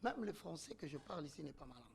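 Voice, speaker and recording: Emphatic man with gravelly voice. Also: fairly high pitch, lively intonation, very fast. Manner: emphatic, oratorical, rhetorical questions. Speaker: debate guest. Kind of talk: heated panel debate.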